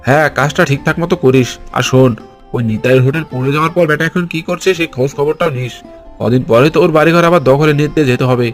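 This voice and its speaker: Man acting a fast-talking greedy village boss. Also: fairly high pitch, soft background music, natural voice. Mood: scheming, commanding. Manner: bossy, scolding. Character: greedy, scheming, bossy village moneylender chief, a man. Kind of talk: Bengali cartoon voice acting.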